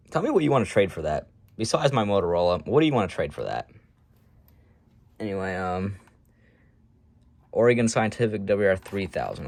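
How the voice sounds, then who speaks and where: A man's voice: sits low, a little mellow, no room sound. A man giving a casual, unscripted show-and-tell as a hobby collector, talking in an offhand, matter-of-fact way with rambling asides.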